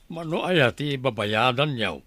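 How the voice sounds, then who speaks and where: A man's voice: medium pitch, expressive, measured pace, bright, forceful tone, no room sound. A man playing a helpful village farmer in an Ilocano radio drama. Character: helpful village farmer willing to lend money.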